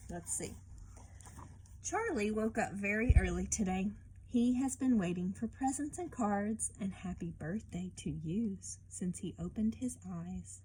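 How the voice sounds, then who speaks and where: A woman reading a picture book aloud and teaching children informally, expressive and often turning to the listener with questions. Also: fairly high pitch, slight background noise, poor audio.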